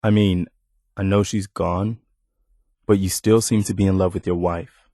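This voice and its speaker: An actor playing an earnest, loyal militia leader in a video game, speaking in a sincere, measured, conversational way. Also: male voice, deep voice, steady tone, dark tone, no room sound, studio quality. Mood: tender, sincere. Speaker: video game companion character. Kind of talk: acted video game dialogue lines.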